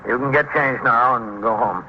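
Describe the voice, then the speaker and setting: A man's voice: mid-range voice, normal speed, natural voice. An American man playing a weary, commanding New York police captain in a 1950s radio drama. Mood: curt. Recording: dull, hissy vintage radio recording.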